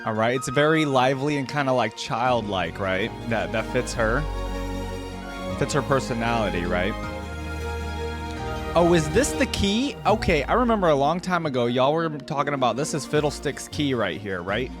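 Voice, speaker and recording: A man hosting a reaction video with casual, informal commentary, thinking out loud as he goes. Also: medium pitch, animated, moderate pace. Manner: informal, musing aloud. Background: music.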